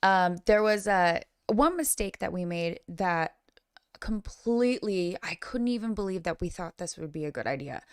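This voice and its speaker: Smooth-voiced woman with a dry sound. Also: fairly low pitch, lively intonation, moderate pace, dry recording. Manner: laughs often, chatty storyteller. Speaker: rock singer and stream co-host. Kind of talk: casual chat on a livestream.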